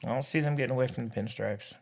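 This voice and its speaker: A man co-hosting a sports podcast, joking and animated, repeating short phrases with buddy-style slang. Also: medium pitch, very fast, gravelly. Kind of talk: casual sports banter.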